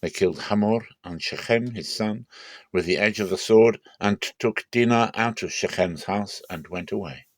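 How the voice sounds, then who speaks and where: A man's voice: lowish voice, moderate pace, no echo. A man reading scripture aloud as dramatic, scripted narration in a theatrical manner. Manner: theatrical, acts out quoted speech. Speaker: Bible reader.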